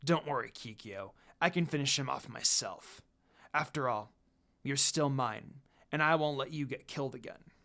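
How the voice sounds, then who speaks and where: A reader voicing a cocky, possessive young half-demon man in a low-key way for a fan story. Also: moderate pace, sharp tone.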